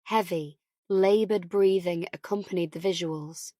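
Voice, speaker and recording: A woman's voice: on the low side, fairly even intonation, unhurried, warm tone, dry recording, studio quality. A woman reading a thriller novel as a scripted audiobook narration.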